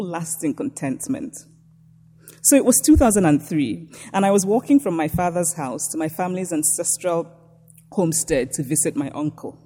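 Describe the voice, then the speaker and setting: A woman from Nigeria with a Nigerian accent, reading a prepared lecture in a measured, reflective way. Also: low voice, warm tone, husky, in a large hall.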